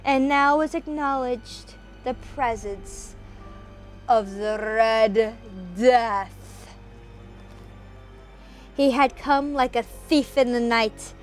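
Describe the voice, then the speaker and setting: A woman with a theatrical, expressive way of speaking, presenting horror stories as an amateur reader and host. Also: fairly high pitch. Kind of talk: dramatic reading, then enthusiastic casual chat.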